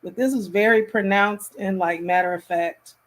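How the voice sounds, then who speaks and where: A woman hosting a casual reaction commentary, speaking in an appalled, emphatic and halting way. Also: mid-range voice, steady tone.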